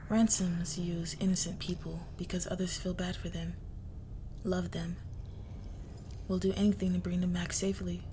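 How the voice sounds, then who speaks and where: A cool crime heiress, acted by a woman, speaks very slowly in an even, controlled tone. She is teasing and condescending, with quiet menace and breathy asides, in an intimate audio roleplay. There is some noise behind the voice. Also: on the low side, lightly acted. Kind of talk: scripted ASMR-style roleplay monologue.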